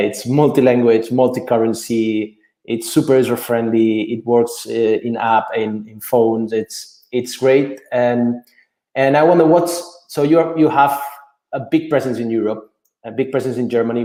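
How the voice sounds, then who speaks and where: A man's voice: medium pitch, flat delivery, unhurried, warm tone, roomy sound. A man acting as guest host in a webinar, asking long, rambling questions with many repeated words.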